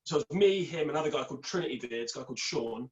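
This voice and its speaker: A man's voice: medium pitch, lively intonation, rapid-fire, muffled recording, crowd noise. A young Scottish man with an English accent, chatting casually on a call as a podcast guest, rambling upbeat through his story. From Scotland. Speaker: podcast guest, beard product entrepreneur. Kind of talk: casual chat.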